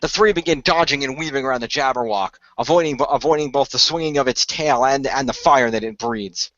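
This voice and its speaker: A man improvising action narration for a radio play, haltingly and often repeating phrases as he goes. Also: medium pitch, a bit bright, dry recording, lo-fi sound. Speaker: game-style narrator and player of a radio play.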